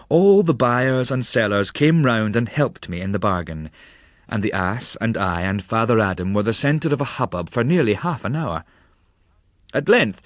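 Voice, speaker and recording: A man narrating a literary audiobook in a measured, wry storytelling manner with formal diction. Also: fairly low pitch, no echo, clean studio sound.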